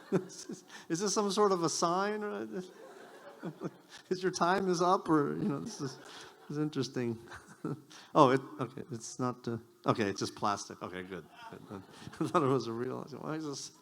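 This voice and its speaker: A man's voice: on the high side, unhurried, vocal fry, a bit bright. A man whose first language is Japanese, doing stand-up on a community event stage, self-mocking and rambling with stammered restarts. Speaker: stand-up comedian. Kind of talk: stand-up comedy set.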